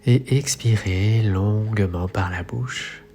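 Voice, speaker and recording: A man leading a guided relaxation meditation as an instructor, speaking in soothing, measured phrases. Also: fairly low pitch. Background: soft music.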